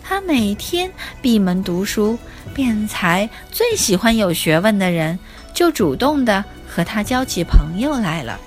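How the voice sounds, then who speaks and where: A woman narrating a scripted children's story as its host, in an expressive, simply explaining way. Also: mid-range voice, a little slow, hardly any pauses. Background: soft music.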